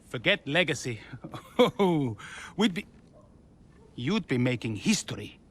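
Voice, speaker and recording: An actor playing an eager, pleading racing team executive in a video game, with a cajoling manner. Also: male voice, medium pitch, big pitch swings, slow, a bit bright, slight background noise. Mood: coaxing.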